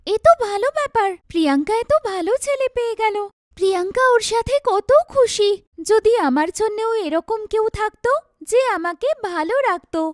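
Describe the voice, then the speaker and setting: A woman voicing a kind, self-effacing young sister in a cartoon, speaking in a gentle, earnest way. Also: extremely high pitch, lively intonation, normal speed, dry recording, studio quality. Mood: wistful. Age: young adult. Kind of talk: cartoon dialogue.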